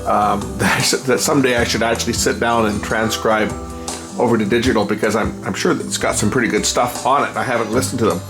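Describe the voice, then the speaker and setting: A man's voice: lowish voice, fairly even intonation, quick pace, music in the background. A man giving casual, unscripted commentary as a repair hobbyist, rambling and thinking aloud while he works. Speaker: repair hobbyist presenting a video.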